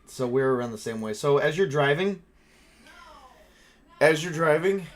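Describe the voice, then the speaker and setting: A man's voice: on the high side, fairly bright tone. A man casually narrating a tabletop role-playing game as game master, improvising and thinking aloud with some hesitation.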